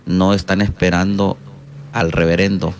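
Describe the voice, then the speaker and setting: A man's voice: sits low, flat delivery, measured pace, a little hiss or hum. A middle-aged man from Honduras, speaking as a pastor in a reflective interview and often hesitating with "eh" fillers. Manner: hesitant, frequent "eh" fillers, thoughtful and earnest.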